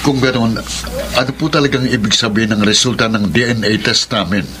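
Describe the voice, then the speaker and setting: A man's voice: lowish voice, fairly quick, a bit bright, a little hiss or hum, lightly acted. A man playing a bewildered, forgiving betrayed partner in a Filipino radio soap, earnest and questioning, with a Filipino accent.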